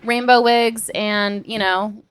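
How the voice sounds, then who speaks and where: An American woman talks as a podcast co-host in a loose, conversational style. Her delivery is informal and animated, with a mid-range voice and some casual swearing. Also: mid-range voice, takes it slowly, smooth. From United States.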